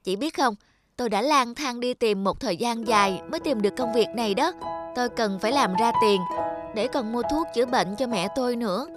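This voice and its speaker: A woman's voice: a little high, brisk, close to an everyday voice. A woman voicing a polite, earnest, hardworking poor servant boy in a children's cartoon. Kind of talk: dubbed children's cartoon dialogue. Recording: loud music behind the voice.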